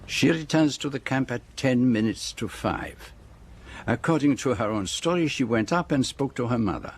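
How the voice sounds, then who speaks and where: An actor playing a self-assured detective in a radio drama, speaking in a deliberate, formal and precise way as he lays out a case. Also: medium pitch, animated, slightly rough. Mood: accusing, deliberate. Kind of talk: radio drama acting, monologue.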